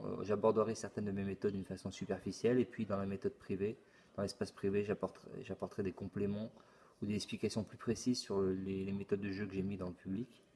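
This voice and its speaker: A middle-aged man hosting his channel, explaining a forum casually, halting often and repeating words with many fillers. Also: fairly low pitch, a little mellow. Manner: plain explanatory tone. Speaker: YouTube channel host. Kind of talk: casual screen-recorded forum walkthrough.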